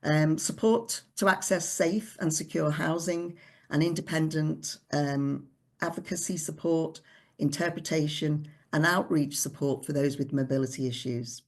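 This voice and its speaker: A woman with a low voice presents her charity's support services over a video call. She goes through the list steadily and plainly. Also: fairly even intonation, a little slow. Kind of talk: online forum presentation.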